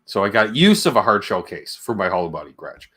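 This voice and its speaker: A man's voice: on the low side, big pitch swings, brisk, bright, forceful tone, husky. A man hosting a livestream in a casual, chatty way, wandering between asides and viewer comments. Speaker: livestream show host.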